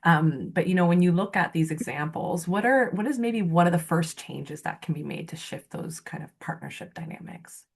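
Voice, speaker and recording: A woman's voice: on the low side, moderate pace, gravelly, few pauses, breathy edge. A woman moderating an online panel discussion, thanking speakers and posing questions in a casual way full of fillers. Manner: casual, with many fillers and hedges.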